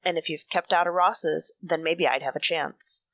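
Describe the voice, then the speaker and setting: A woman's voice: low-pitched, steady tone, normal speed, fairly bright tone, no echo. A woman playing a bitter, defensive mature woman in a radio soap, speaking in a guarded, clipped way. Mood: cold, accusing. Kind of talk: radio soap opera acting.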